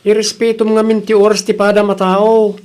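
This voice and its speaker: A man's voice: high-pitched, monotone, a little fast, a little mellow, clean tone, close to an everyday voice. A man acting in an Ilocano comedy radio drama as a nagging, reproachful relative who lectures on punctuality, with an Ilocano accent.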